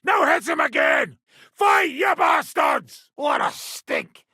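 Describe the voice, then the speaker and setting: An actor playing a brash, foul-mouthed medieval mercenary commander in a video game, with a rough, raspy voice and a coarse, sardonic swagger. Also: male voice, very high voice, lively intonation, slow pace, bright, forceful tone, no room sound, shouting, studio quality, exaggerated voice. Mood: furious, battle shouting. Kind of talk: video game character acting.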